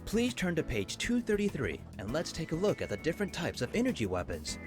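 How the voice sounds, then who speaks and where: A man playing a formal, instructive professor in a video game, lecturing in a measured, articulate way. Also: on the high side, wildly swooping pitch. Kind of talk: scripted game voice acting, classroom lecture. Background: game music.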